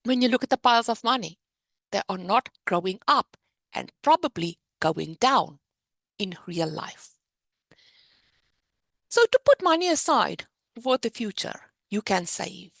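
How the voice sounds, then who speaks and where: A middle-aged woman with a South African accent, teaching the basics of investing in an animated, explanatory way on a webinar. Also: mid-range voice, a little slow, creaky, pauses often, dry recording. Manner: animated, explanatory teaching with a lively sing-song rise and fall. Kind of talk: webinar presentation.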